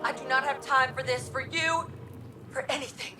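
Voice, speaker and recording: An actress plays a young woman hurt by a betrayal in a video game drama. Her high voice is blunt and full of emotion. It sounds set back from the mic, with music behind. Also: fairly even intonation, normal speed, recorded from a distance, natural voice. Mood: angry, impatient. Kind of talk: naturalistic game cutscene acting.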